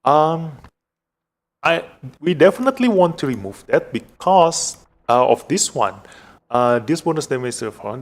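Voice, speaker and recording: A man from Indonesia with an Indonesian accent gives casual live gameplay commentary as a streamer, thinking aloud in a halting way. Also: medium pitch, gravelly. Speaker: game streamer.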